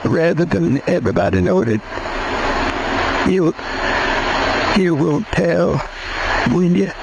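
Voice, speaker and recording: A man's voice: on the high side, sing-song, slow, vocal fry, heavily acted. A man acting an anxious, plain-folk frontier character with a rural American accent in a 1950s radio western, folksy and earnest. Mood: weak, ashamed. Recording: very noisy vintage radio drama recording.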